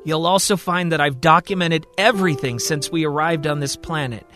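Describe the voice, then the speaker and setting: A storyteller plays a kindly male mentor in an audio story. He is generous and assured, giving instructions clearly over a music bed. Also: on the high side, moderate pace, pushed and bright, voice pushed up. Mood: encouraging.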